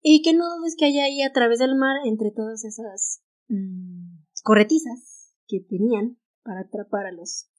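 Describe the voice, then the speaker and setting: A woman's voice: on the high side, a little slow. A woman with a Mexican Spanish accent co-hosting a casual history podcast, reading notes aloud and laughing easily. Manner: reads notes aloud, stumbles on English titles, laughs easily. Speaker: podcast co-host.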